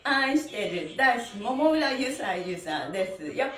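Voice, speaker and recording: High, animated woman instructor, roomy sound. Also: slight background noise. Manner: cheerful, animated, calling out drawn-out chants. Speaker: stretching instructor and pantomime teacher. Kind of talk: livestreamed exercise class with rhythmic chanted counts. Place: small indoor studio with bare wall. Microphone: camera a few metres away. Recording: roomy, distant microphone, faint music.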